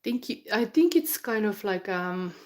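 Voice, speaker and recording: A woman's voice: mid-range voice, lively intonation, a little slow, a little mellow. A woman from Finland with a Finnish accent, giving a live tarot reading while down with the flu, rambling with fillers and restarts and sometimes dropping into a whisper. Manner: rambling, thinking aloud with many fillers and restarts; drops into whispers while channeling. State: has the flu. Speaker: tarot reader.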